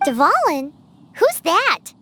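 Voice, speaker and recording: A woman voicing a tiny, chirpy, blunt fairy companion with a squeaky childlike voice in an anime-style game. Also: huge pitch swings, drawn-out words, pushed and bright, slight background noise, exaggerated voice. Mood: curious. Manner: speaks of self in third person, exclamatory.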